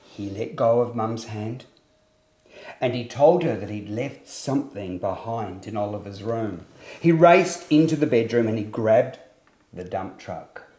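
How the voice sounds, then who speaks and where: A man reading a scripted children's story as storyteller, with expressive, deliberate phrasing in a roomy, echoing space. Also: fairly low pitch.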